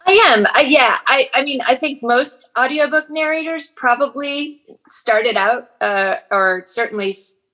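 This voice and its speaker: A woman's voice: sits high, a little slow, sharp tone, low-quality recording. A woman in her forties, an audiobook narrator and actress, chatting casually in an interview with frequent fillers and restarts.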